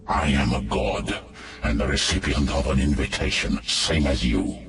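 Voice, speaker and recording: An actor playing a proud, wary god in a video game cutscene, speaking in a grave, formal, gravelly voice. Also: male voice, extremely low pitch, animated, normal speed, very noisy. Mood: grave, reproving. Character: proud, wary male god, defiant and reasoning.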